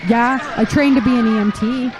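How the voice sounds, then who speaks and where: Woman acting high-voiced, anguished survivor mother. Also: fairly even intonation, brisk, lightly acted. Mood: urgent. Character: anguished mother and EMT-trained survivor, overwhelmed by disaster. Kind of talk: audio drama acting. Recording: noisy outdoor scene with crowd ambience.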